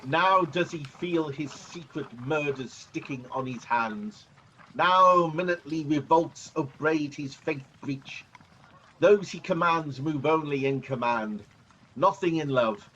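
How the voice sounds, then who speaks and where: An amateur actor playing a grave rebel nobleman in a Shakespearean radio play, measured and declamatory. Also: a little high, bright, forceful tone. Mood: grave, resolute. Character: grave Scottish noble rebel soldier condemning a tyrant. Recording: muffled, noisy video-call audio.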